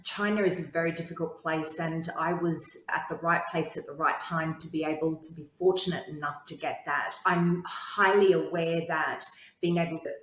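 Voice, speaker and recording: Woman guest telling her story, lowish voice, confident, roomy echo. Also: fairly even intonation, moderate pace, a bit bright. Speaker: guest, entrepreneur and TV host. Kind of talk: interview.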